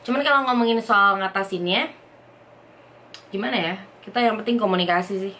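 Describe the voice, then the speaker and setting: A lively young woman from Indonesia answers viewer questions in a casual home vlog. Her voice sits at a middle pitch and runs in quick, excited bursts. A faint background hiss sits under the talk. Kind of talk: casual chat.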